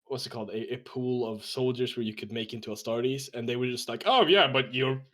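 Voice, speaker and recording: A man's voice: medium pitch, brisk, fairly bright tone. A man from Sweden with a Swedish accent, hosting a casual roundtable podcast and talking with hesitant fillers.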